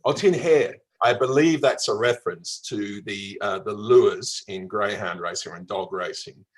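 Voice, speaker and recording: Husky low-voiced Australian man. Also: a little slow. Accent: Australian, mild Melbourne. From Melbourne, Australia. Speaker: travel writer being interviewed. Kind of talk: conversational storytelling in an interview.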